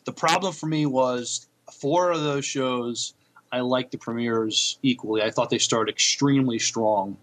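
Mid-pitched man co-hosting a podcast. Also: unhurried, a bit bright, low-quality recording. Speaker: podcast co-host. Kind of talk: casual TV review chat.

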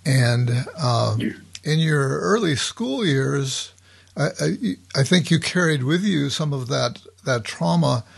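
A man hosting an interview, speaking slowly and reflectively and leaning on fillers. His voice is gravelly with a husky edge. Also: on the high side, lively intonation. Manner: slow, reflective questioning with frequent fillers. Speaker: interview host. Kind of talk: podcast interview.

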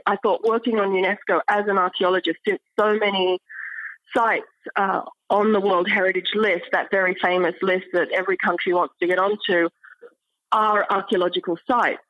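A middle-aged woman speaking as an academic interview guest, explaining things in an articulate, measured way. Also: medium pitch, dry recording, muffled recording. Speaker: interview guest, archaeology professor. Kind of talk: thoughtful academic interview talk.

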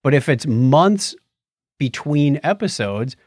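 A man's voice: a little high, expressive, slow, a little mellow, no room sound, studio quality. A man chatting casually and enthusiastically as a podcast co-host. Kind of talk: casual chat.

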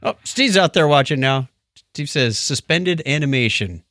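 A man hosting a podcast in casual chat, telling stories in an animated way and acting out what others said. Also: fairly high pitch, moderate pace, dry recording, studio quality. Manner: animated storytelling, acts out quotes. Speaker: podcast host.

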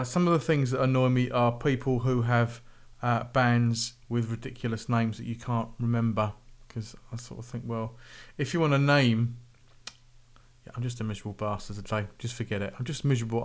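A man's voice: medium pitch, fairly even intonation, a little fast. A man hosting a podcast in a casual, rambling way. He has a slight cold and sore throat, and he grumbles and mocks himself as he chats. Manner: chatty, rambling and grumbly with self-mocking asides. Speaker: podcast host. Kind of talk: casual podcast monologue introducing music.

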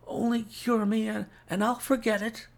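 A man voicing a gravely sick man who gasps weakly and pleads haltingly for help in an audiobook story. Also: high-pitched, level tone, voice pushed up. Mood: desperate pleading. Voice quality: labored, gasping, whispery.

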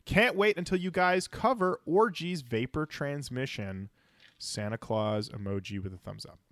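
A man with a medium-pitched voice co-hosts a podcast. He talks casually, slipping wry asides between listener comments he reads aloud. The sound is dry, with hardly any room. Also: lively intonation, measured pace, no echo. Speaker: podcast co-host. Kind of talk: casual podcast chat, reading out listener feedback.

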